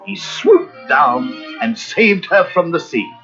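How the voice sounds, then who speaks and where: Children's story narrator: male, lightly high voice, very animated and dramatic, muffled cassette with music under him. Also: takes it slowly.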